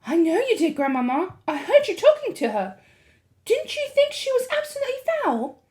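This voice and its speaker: A woman voicing an eager, brave young boy who talks in excited bursts. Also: very high-pitched, normal speed, voice pushed up.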